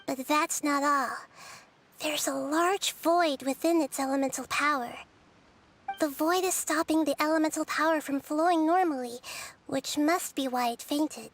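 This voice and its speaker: A woman voicing a gentle, wise, young-looking girl goddess in a video game, speaking softly and thoughtfully. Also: sits high, measured pace, a little hiss or hum. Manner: soft, thoughtful and reassuring.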